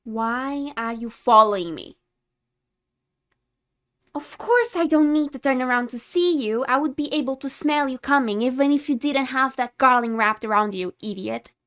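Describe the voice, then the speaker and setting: A woman's voice: high-pitched, moderate pace, a bit bright. A woman playing a haughty, secretly caring tsundere vampire in a scripted ASMR roleplay, condescending and easily exasperated. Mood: irritated, condescending. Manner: condescending, exasperated, flustered scolding with sighs.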